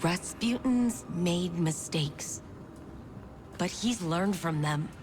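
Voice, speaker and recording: An actress playing a firm, principled military leader in a video game, deliberate and resolute. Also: female voice, fairly low pitch, animated, noisy background. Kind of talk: dramatic video game acting.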